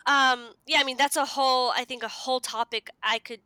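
A woman's voice: high voice, steady tone, brisk, bright, forceful tone, smooth, no echo. A woman from the United States, speaking as a podcast guest and explaining things in a casual, conversational way.

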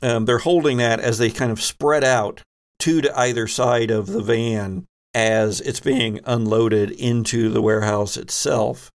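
A man's voice: medium pitch, steady tone, a little slow. A man narrating a tabletop roleplaying game as game master, speaking conversationally and descriptively with wry asides.

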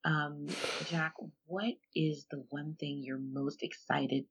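Brief: female caller, low husky voice with vocal fry, dull lo-fi audio, friendly and hesitant. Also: steady tone, slow pace. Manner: friendly, hesitant, with many ums. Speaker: viewer calling in with a question. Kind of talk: casual chat.

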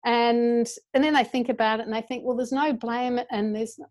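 A woman's voice: fairly high pitch, fairly even intonation, moderate pace, darkish tone. A woman educator explaining her ideas as an interview guest in an earnest, passionate way.

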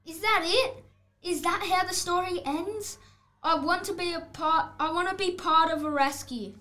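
Schoolboy playing an indignant high-voiced storybook wolf. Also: male voice, moderate pace, bright, forceful tone. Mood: disappointed. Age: child, about 11 to 12. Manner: protesting, exclamatory, interrupting the narrator. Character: indignant, vain storybook wolf who wants to be the hero. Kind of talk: scripted picture-book audiobook acting.

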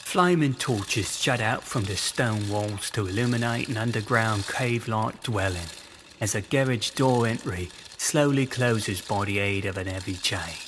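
A man's voice: fairly low pitch, background noise. A man giving dramatic scripted narration in literary, theatrical phrasing. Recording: loud music under the voice. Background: loud music.

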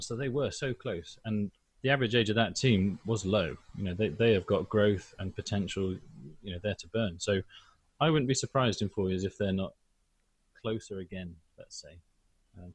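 A man's voice: fairly low pitch, breathy edge, no echo. A man from the volleyball federation side explaining things in a matter-of-fact way on a podcast video call. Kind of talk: casual chat.

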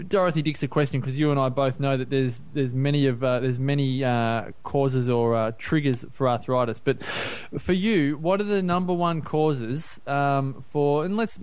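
A man's voice: medium pitch, darkish tone, clean tone. A man hosting a podcast, asking long, rambling questions and teasing his guest. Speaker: podcast host. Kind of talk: casual interview chat.

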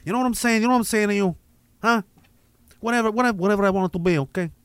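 A man's voice: high-pitched, very fast, smooth, no echo. A man voicing a brash, taunting character with a mashed-up Southern drawl that he mumbles.

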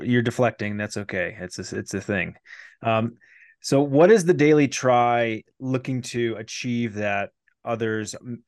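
A man's voice: mid-range voice, no room sound, clean studio sound. A man hosting a podcast in a loose, playful, teasing way. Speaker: podcast host. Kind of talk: casual podcast chat.

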